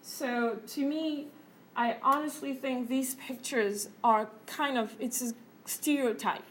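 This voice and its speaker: A woman's voice: high-pitched, fairly even intonation, slow pace, fairly bright tone, slight background noise, low-quality recording. Earnest woman teacher lecturing a class. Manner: earnest, many fillers and tag questions.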